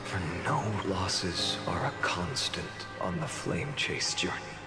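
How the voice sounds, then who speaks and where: A grave, world-weary man from a dramatic video game. He speaks slowly and with restraint, resigned to a doomed struggle. Clear music plays behind his measured lines. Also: deep voice, expressive. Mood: somber. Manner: grave, measured, sighing delivery. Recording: game dialogue under background music.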